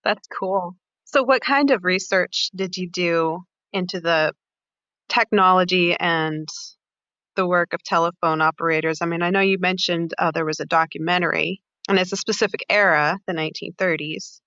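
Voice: mid-range voice, fairly even intonation, moderate pace, bright, forceful tone, no echo. A podcast co-host asking a guest questions in a conversational style, heard on slightly dull, band-limited audio. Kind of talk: conversational interviewing.

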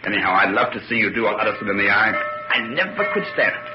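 An actor playing a breezy, blustering young English gentleman, exclaiming in an old-time radio drama with an English accent. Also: on the low side, animated, fast, loud music behind the voice, natural voice. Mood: bluff, teasing. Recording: muffled, noisy old radio recording.

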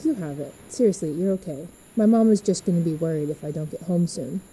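A young woman playing a reassuring, upbeat teenage girl in an audio drama, with a husky voice. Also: fairly low pitch, brisk, dark tone, low-quality recording. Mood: gently evasive.

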